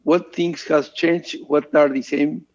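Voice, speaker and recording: A man, a Spanish speaker, moderating a panel with slow, broken English questions. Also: a little high, fairly even intonation, a little mellow, dull audio.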